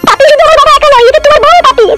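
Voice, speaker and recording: A woman's voice: very high voice, rapid-fire, music in the background. A woman voicing a lovesick, pleading, insistent young woman in an amateur comedy cartoon.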